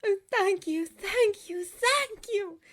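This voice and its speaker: Woman voicing a high, squealing, overjoyed young woman. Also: slow pace. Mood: overjoyed, tearful. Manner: breathless, exclaiming. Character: excitable young woman overjoyed at first steps.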